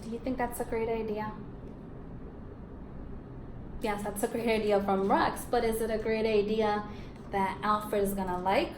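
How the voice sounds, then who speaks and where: A woman's voice: mid-range voice, steady tone, moderate pace. A woman teacher reading a storybook aloud to young pupils, expressively, prompting them with questions and affirming answers. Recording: roomy echo, faint background noise.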